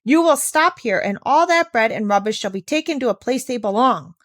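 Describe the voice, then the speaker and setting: Woman voicing a stern, shrill, exasperated housekeeper lady. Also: lively intonation, a little fast, a bit bright, dry recording. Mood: determined. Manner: haughty, scolding, exclamatory. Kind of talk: children's storybook character reading.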